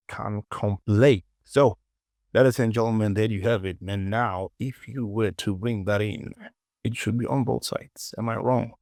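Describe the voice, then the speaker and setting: Low gravelly guy walking thru a software tutorial, really unhurried, short little instructions, talks to himself. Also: no room sound, studio quality. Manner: short clipped instructions, self-questioning asides, repeats phrases. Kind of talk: casual step-by-step software tutorial narration.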